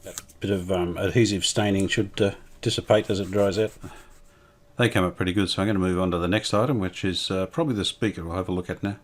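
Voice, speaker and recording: Low-voiced man giving casual workbench commentary. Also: steady tone, fast. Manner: understated, unscripted, matter-of-fact. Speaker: restorer presenting a hands-on vintage radio repair.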